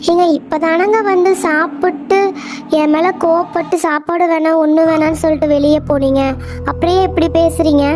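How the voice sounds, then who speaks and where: A woman's voice: very high-pitched, fairly even intonation, normal speed, darkish tone. A woman voicing an anxious, apologetic young wife in a cartoon story who speaks in a pleading way, with music playing behind. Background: background music.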